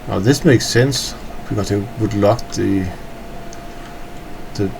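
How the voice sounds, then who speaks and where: A man with a Danish accent talks in a low, gravelly voice, tired and full of hesitant fillers. He rambles casually while walking through a video game hangar. An air conditioner hums audibly behind him. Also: takes it slowly, noisy background. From Denmark. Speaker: gamer touring his in-game hangar. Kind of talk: casual game walkthrough commentary.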